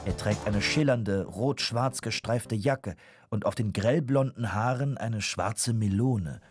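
A man narrating a children's audio drama from a script in an expressive storytelling manner. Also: lowish voice, takes it slowly, faint music behind.

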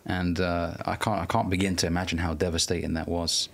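A man hosting a conversational podcast interview, speaking in an earnest, measured manner with some hesitant phrasing. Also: low voice, flat delivery, creaky, fairly bright tone. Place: podcast studio.

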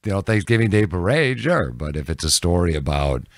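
An older man in his sixties with an extremely deep voice that crackles with vocal fry. He talks candidly and haltingly, as in a relaxed interview. The sound is dry and close to the microphone. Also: no room sound. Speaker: news anchor and reporter, podcast guest. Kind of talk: casual reflective interview answers. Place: small dim indoor podcast room. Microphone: close studio microphone on a boom.

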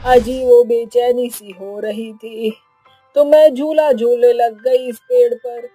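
A woman's voice: on the high side, fairly even intonation, slow pace, mellow, slightly rough, faint music behind. A woman voicing a playful, childlike elderly mother-in-law in a cartoon, drawling and exclamatory. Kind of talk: Hindi cartoon voice acting.

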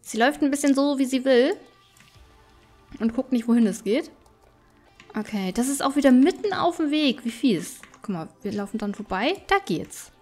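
A woman with a slightly high voice commentates casually on a video game. She chats and thinks aloud as she goes, in the easy style of a let's play. Soft game music plays behind her. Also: lively intonation, moderate pace. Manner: chatty, thinking aloud to herself and viewers. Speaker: gaming YouTuber.

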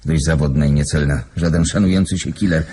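An actor playing a streetwise, sardonic police detective who talks colloquially in a radio play. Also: male voice, a bass voice, fairly even intonation, quick pace, warm tone, slight background noise. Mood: sardonic, musing. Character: wry, streetwise, unhurried male police detective. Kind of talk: radio drama acting.

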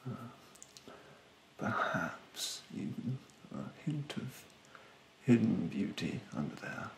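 Young man, breathy ASMR hush, condescending and politely snide, heavy vocal fry, roomy recording. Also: lowish voice, sing-song, slight background noise. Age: young adult. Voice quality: breathy, hushed. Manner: condescending, politely snide, hesitant drawn-out phrasing. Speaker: ASMR performer playing a rude facial therapist. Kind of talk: ASMR roleplay, soft-spoken with whispering. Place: bedroom, indoors.

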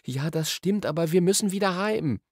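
A sensible, slightly worried young girl, as a male narrator puts her on, speaks earnestly and asks careful questions. The voice is raised well above his own, lively in tune and a little husky. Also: sits high, normal speed, no echo, studio quality, voice pushed up, heavily acted.

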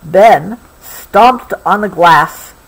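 A woman reading a picture book aloud to a child, patiently pausing to explain things along the way. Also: medium pitch, slightly rough, rough recording. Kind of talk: expressive storybook read-aloud with explanatory asides.

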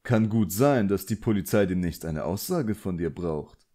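A man's voice: sits low, expressive, normal speed, dark tone. A man voicing an elegant, condescending villain sorcerer in an audiobook, suave and politely commanding. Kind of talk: audiobook character acting.

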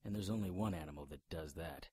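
An actor playing a hard-boiled, sardonic hero in a radio drama, with a wry, grimly observant manner. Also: male voice, deep voice, fast, darkish tone, husky, no room sound, studio quality. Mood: brooding.

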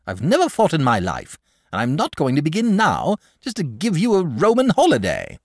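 A man voicing a lazy, gentle, cultured male dragon in a storybook reading, languid and unhurried. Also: on the high side, no echo, clean studio sound, voice pushed up, full character voice. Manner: languid, unhurried, mildly plaintive.

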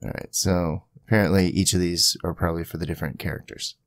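A man giving a casual screen-capture software tutorial, thinking aloud informally as he goes. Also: deep voice, steady tone, fast talker, no room sound, clean studio sound. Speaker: tutorial host.

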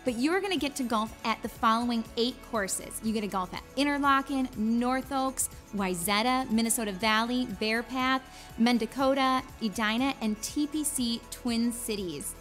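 A woman's voice: on the high side, normal speed, a bit bright, soft background music. A woman in her thirties hosting a fundraising auction in a chatty, encouraging way, urging people on. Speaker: auctioneer and gala host. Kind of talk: live fundraising auction presenting. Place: studio set.

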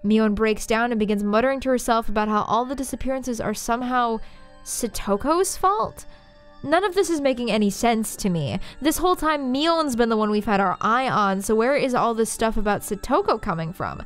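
High-voiced woman narrating a story analysis. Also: expressive, normal speed, faint music behind. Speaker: video essayist.

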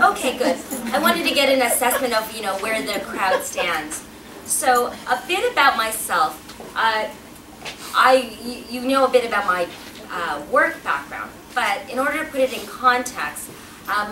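A woman's voice: on the high side, lively intonation, normal speed. Woman speaking at a podium in an echoey hall. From Pakistan. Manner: chatty, engaging the audience with questions. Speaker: guest speaker. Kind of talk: personal talk at a podium. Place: event hall with a backdrop, indoors. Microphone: podium mic, filmed from a distance. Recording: roomy, distant, noisy hall sound.

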